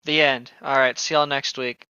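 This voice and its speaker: A co-host of a read-along who reads aloud and heckles casually, playfully indignant and quick to swear. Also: medium pitch, little pitch movement, pushed and bright, clear, resonant tone, dry recording, dull audio. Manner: mock-indignant, playful, profane. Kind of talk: casual chat mixed with reading a novel aloud.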